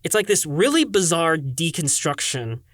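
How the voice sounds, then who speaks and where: A man's voice: fairly high pitch, big pitch swings, moderate pace, fairly bright tone, no room sound, clean studio sound. A man playing a chatty young radio talk show host, rambling casually and conversationally. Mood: casual, enthusiastic.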